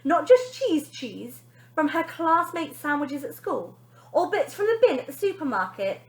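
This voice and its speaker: A woman teacher reading a children's story aloud in an expressive, dramatic storytelling style. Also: sits high, a little slow. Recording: echoey room.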